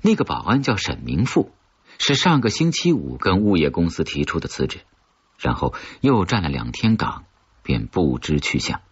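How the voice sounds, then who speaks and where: A man's voice: sits low, sing-song, normal speed, husky, dull audio. A man reading a suspense novel aloud as a scripted audiobook, with dramatic storytelling delivery. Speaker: audiobook narrator.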